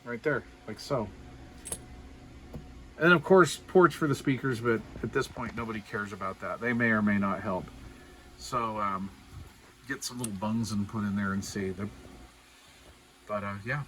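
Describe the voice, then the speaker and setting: A man's voice: on the low side, moderate pace, pauses often, fairly bright tone, slight background noise, poor audio. A man giving a casual, unscripted hobbyist show-and-tell in a folksy, colloquial, self-deprecating way. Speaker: hobbyist showing a DIY radio build.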